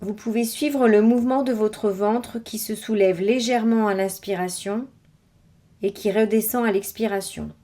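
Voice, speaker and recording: A woman leads a guided body scan as a meditation guide. Her voice sits at a medium pitch. She gives scripted instructions in a measured way, pausing between phrases. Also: steady tone, warm tone.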